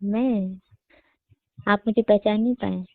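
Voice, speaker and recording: A woman's voice: medium pitch, steady tone, slow, creaky, dry recording. A young woman, calling in casual conversation as a parent. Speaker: caller, parent seeking a tutor. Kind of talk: casual chat. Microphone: phone call. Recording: dry, slightly tinny phone call recording.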